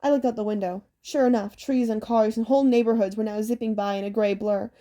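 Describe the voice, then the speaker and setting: A woman's voice: a little high, steady tone, fast talker, warm tone. A woman reading a scripted story aloud as an audiobook narrator.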